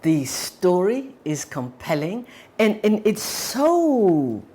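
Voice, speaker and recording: A woman's voice: mid-range voice, sing-song, takes it slowly, warm tone. A woman giving a reflective spoken testimonial.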